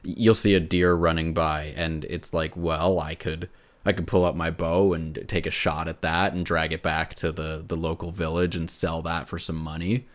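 A man's voice: sits low, little pitch movement, moderate pace. A man co-hosting a casual video game discussion, speaking as an earnest, emphatic enthusiast. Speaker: podcast co-host.

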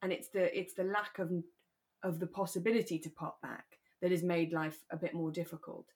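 A British woman co-hosting a podcast in a relaxed, chatty and reflective discussion of a radio drama. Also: fairly low pitch, brisk. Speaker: podcast co-host.

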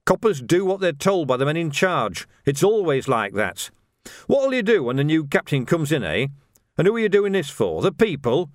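Guy doing a scornful blunt copper in an audiobook, argumentative, raised pitch that swings about. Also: big pitch swings, normal speed, no room sound, clean studio sound. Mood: scornful, defiant. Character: scornful, hard-headed male city policeman, defiant.

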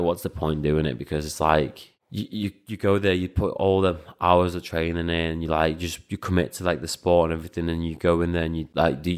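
Very deep-voiced young man, rambling. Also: clean tone. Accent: Liverpool (Scouse). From Latvia. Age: 20s. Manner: rambling, many fillers and restarts, acts out quoted speech. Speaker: professional kickboxer and coach, guest. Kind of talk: casual interview conversation.

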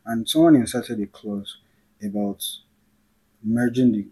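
A man's voice: mid-range voice, measured pace, breathy edge, rough recording. A man from Nigeria hosting a casual political chat, thoughtful and often correcting himself as he goes. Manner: thoughtful, self-correcting, trails off quietly. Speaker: podcast host.

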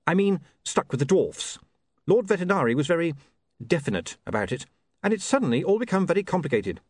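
A man's voice: a little high, very animated, fairly quick, no echo, studio quality, voice pushed up. A man voicing a flustered, guilty young newspaper man with a hesitant, apologetic manner in an audiobook.

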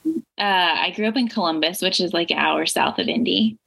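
Woman from Indiana, podcast co-host, easygoing and chatty, a touch low. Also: normal speed, gravelly, fairly bright tone, breathy edge, low-quality recording. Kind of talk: casual chat.

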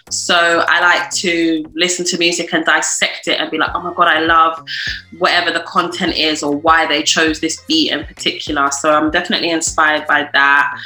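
Woman guest, chatty musician, somewhat low, bright tone, echoey room. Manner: chatty, lots of fillers. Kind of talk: casual interview answers.

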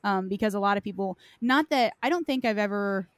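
A woman's voice: medium pitch, brisk, dry recording, clean studio sound. A woman hosting a casual gaming chat, talking informally and anecdotally with lots of "like". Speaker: podcast host, gamer.

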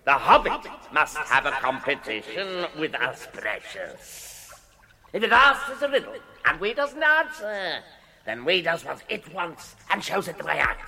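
A greedy muttering cave creature for radio drama, strangled croaky high voice, whiny, all over the place. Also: male voice, very animated, a little slow, crowd noise. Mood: sly, menacing. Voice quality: creaky, strangled voice. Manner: muttering to himself, wheedling, whining.

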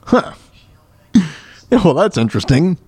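A man's voice: high voice, big pitch swings, takes it slowly, over loud music. A man hosting a casual talk show, reacting in a folksy way and often groping for words and repeating himself. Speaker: show host.

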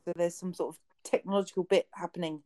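A woman's voice: fairly low pitch, steady tone, fast, darkish tone. A woman based in Kent, co-hosting a casual podcast chat, friendly and laughing often as she asks questions. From England (Kent). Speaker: podcast co-host.

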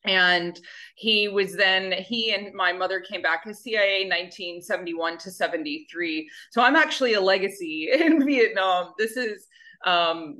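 A woman's voice: mid-range voice, bright, forceful tone. A woman guest who founded an animal rescue, chatting casually in a rambling, self-deprecating way. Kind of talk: casual interview chat.

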